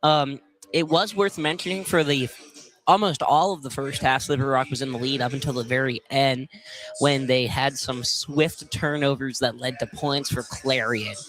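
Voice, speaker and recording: A man's voice: medium pitch, steady tone, normal speed, a bit bright, low-quality recording. A young man giving live basketball commentary and analysis as a broadcast host. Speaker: sports commentator. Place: indoor basketball field house.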